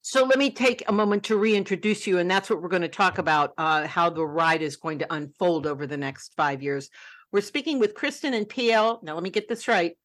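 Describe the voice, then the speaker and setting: A woman's voice: lowish voice, a little fast, fairly bright tone. A woman hosting an interview, chatty and enthusiastic. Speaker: interview host. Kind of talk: casual interview.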